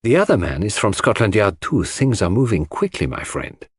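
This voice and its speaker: A male reader acts out a shrewd gentleman detective from a mystery audiobook. He speaks formally and softly, as if confiding, with polite gravity and a lively melody in a dry recording. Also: on the low side, moderate pace, slightly rough, dry recording.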